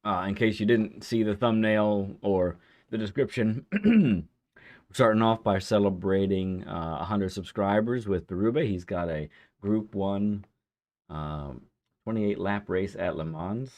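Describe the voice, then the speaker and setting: A man hosting a casual live stream who greets viewers by name. His throat is hoarse and phlegmy from a lingering cough. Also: on the low side, a little slow, dull audio. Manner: chatty, reads out viewer names warmly.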